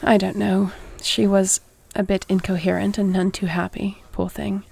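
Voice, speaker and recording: A woman playing a duty-bound warrior queen and tender wife in an intimate audio roleplay, speaking in a measured, earnest, formal way. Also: medium pitch, little pitch movement, gravelly, warm tone, breathy edge. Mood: serious, thoughtful. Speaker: voice actor.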